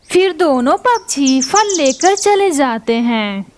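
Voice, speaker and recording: A woman narrating a children's cartoon story in a plain, measured way. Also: high-pitched, expressive, a little mellow, slight background noise.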